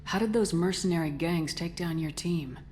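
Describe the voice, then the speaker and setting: A woman playing a steady, tough military commander in a video game, direct and controlled as she asks questions. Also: on the low side, normal speed, slight background noise, close to an everyday voice. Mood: calm, probing. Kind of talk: video game dialogue acting.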